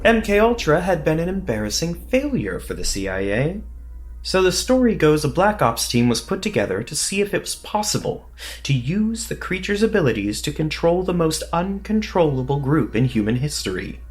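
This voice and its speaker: A man playing a cocky, foul-mouthed covert government agent in a horror audio drama, wisecracking and excitable. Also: a little high, normal speed, faint music behind. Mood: wry, conspiratorial.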